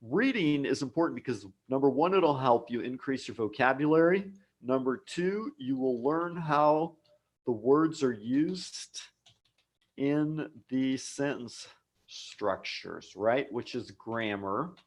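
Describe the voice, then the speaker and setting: A man's voice: medium pitch, lively intonation, slow. An American man teaching a live English lesson online, speaking patiently and repeating key words for learners. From United States. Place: home office abroad.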